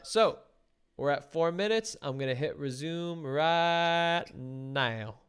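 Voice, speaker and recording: A man coaching two guests through a practice date, speaking with encouragement and plain instructions. His voice sits a little high for a man and comes across smooth and clean. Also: slow, fairly bright tone. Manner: encouraging, direct, gives instructions. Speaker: relationship coach and host. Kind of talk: coaching speed-dating guests.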